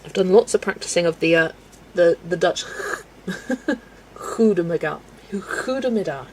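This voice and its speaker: A young woman hosting a colouring livestream, chatting casually with viewers, relaxed and laughing often as she works. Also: on the low side, unhurried, a little hiss or hum, rough recording. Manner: relaxed and chatty, laughs often, talks to herself while working. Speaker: livestream host. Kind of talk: casual chat with a live chat while colouring.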